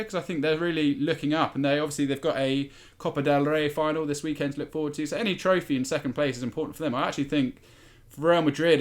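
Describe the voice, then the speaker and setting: A man talking football as a podcast panelist, giving his analysis in a conversational, slightly halting way. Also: on the high side. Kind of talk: football discussion, casual analysis.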